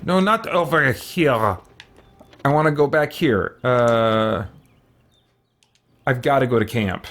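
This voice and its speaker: A man's voice: mid-range voice, slow, a bit bright. Man thinking aloud while gaming. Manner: muttering to himself, hesitant, exclaiming mild oaths. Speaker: gaming video host. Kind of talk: casual let's-play commentary, thinking aloud.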